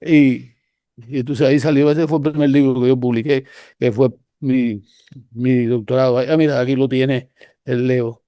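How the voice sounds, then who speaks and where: An older man from Cuba with a Cuban Spanish accent, telling stories in a relaxed, rambling way with many restarts. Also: mid-range voice, moderate pace, dry recording, studio quality. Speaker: guest researcher. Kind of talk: casual conversational storytelling.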